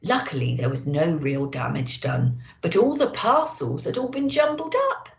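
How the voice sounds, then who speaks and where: A woman reading a children's picture book aloud in an expressive manner, as an elf storyteller. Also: low-pitched, moderate pace, vocal fry. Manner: expressive, addressing children directly. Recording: roomy, echoey indoor sound.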